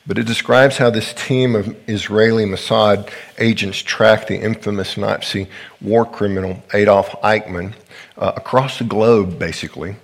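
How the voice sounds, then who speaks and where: A pastor who is sick and sounds deeper than usual, leading a prayer and starting his sermon with casual asides and fillers. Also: steady tone, moderate pace, gravelly.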